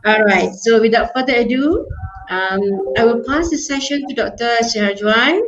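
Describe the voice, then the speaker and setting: A woman's voice: fairly high pitch, normal speed, a crowd in the background. Woman moderating a webinar, muffled call. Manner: filler-heavy, often ends with "yeah?" and "right?". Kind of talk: online webinar hosting. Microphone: video call. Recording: muffled online call audio.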